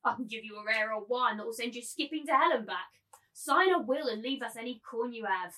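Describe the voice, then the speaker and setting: Woman as tyrannical madam, forceful bright voice, high pitch, taunting, contemptuous, dramatised novel reading. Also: expressive, fairly quick, poor audio. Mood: cutting contempt. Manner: mocking, taunting, cutting. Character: scornful bullying brothel madam turned mannish tyrant.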